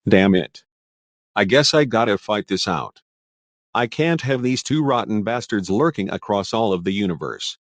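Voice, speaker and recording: A man playing a weary, defiant ancient hero, speaking gruff, confrontational dialogue in an amateur drama. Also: on the low side, lively intonation, moderate pace, no echo. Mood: grim resolve.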